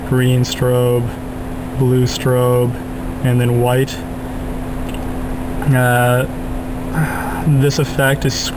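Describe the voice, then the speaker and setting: Flat-voiced young man with a droning hum behind. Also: medium pitch. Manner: flat, monotone delivery with many fillers. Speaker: product demonstrator. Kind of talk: casual product demo narration. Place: underground parking garage.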